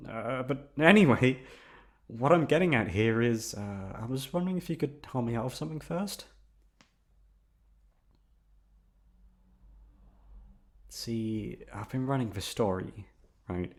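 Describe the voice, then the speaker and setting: A man's voice: mid-range voice, animated, normal speed, close to an everyday voice. A man voicing a nervous, obsessively lovesick young best friend in an intimate ASMR roleplay, rambling hesitantly straight to the listener. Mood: awkward, eager.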